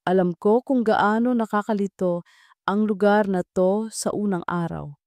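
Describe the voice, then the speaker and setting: A woman voicing a friendly, helpful young male team leader in an audio drama, warm and encouraging in a casual way. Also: medium pitch, a little slow, no room sound, lightly acted. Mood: helpful, inviting.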